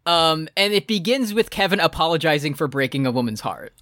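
A woman co-hosting a music podcast, chatting in an animated, sarcastic way. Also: deep voice, fairly quick, no echo, clean studio sound. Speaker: podcast co-host. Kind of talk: casual music review chat.

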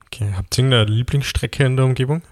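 A man from Graz with an Austrian German accent, hosting a relaxed conversational interview and asking questions with frequent hesitation fillers. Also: medium pitch, fast. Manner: friendly, asks with hesitation fillers. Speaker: interviewer, podcast host.

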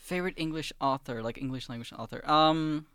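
A man's voice: fairly high pitch, a little fast, a bit bright, smooth, dry recording, clean studio sound. A man from Sweden, a podcast guest in casual chat, talking animatedly and laughing easily.

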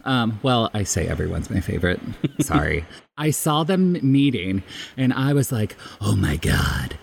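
A man's voice: lowish voice, sing-song, measured pace, creaky, slight background noise. A man guesting on a podcast, chatting and telling stories in an exuberant, giggly, self-mocking way. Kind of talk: casual chat, animated storytelling.

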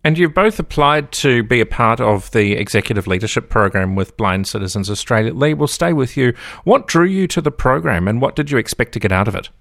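Male radio host, animated intonation, dry close mic. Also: medium pitch, a little fast, gravelly, hardly any pauses, no room sound. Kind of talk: radio interview.

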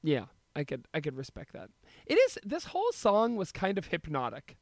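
Male podcast co-host, high and very lively pitch, jokey casual chat, laughs through his lines. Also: normal speed, no room sound, clean studio sound. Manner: jokey, laughs often while talking.

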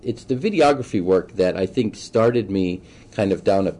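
A man in his forties from Boston giving thoughtful, halting answers as a political candidate in a conversational interview. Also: low-pitched, animated, mellow. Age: 40s. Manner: halting, thoughtful, often pausing mid-sentence. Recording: faint background noise, room ambience.

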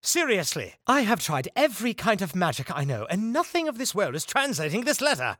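A man's voice: high-pitched, very animated, a little fast, a bit bright, heavily acted. A man playing a diligent, frustrated practitioner of arcane magic in an audio drama.

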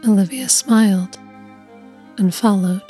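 A woman reading a scripted literary story in a measured, hushed, deliberate way. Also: mid-range voice, soft background music. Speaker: story narrator.

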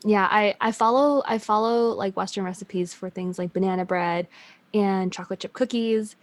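A woman's voice: medium pitch, normal speed, no echo. A young woman from China raised in Canada, with a Canadian accent tinged slightly Chinese, chatting casually with lots of ums and restarts. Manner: chatty, laughs easily, many ums and restarts. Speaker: guest. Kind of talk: casual chat.

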